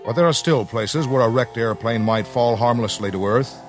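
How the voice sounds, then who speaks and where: An American man narrates a 1950s radio documentary in a solemn, deliberate, scripted style with measured phrasing. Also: medium pitch. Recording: vintage 1950s radio broadcast, music underneath. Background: dramatic music.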